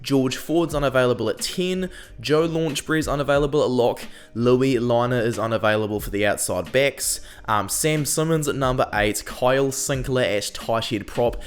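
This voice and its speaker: A young man with a New Zealand accent talks through a rugby squad as host, chatty and opinionated, with plenty of "um" fillers. He is still getting over a cold, and his pitch sits in the middle range for a man. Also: moderate pace, smooth. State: recovering from a cold. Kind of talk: casual scripted commentary.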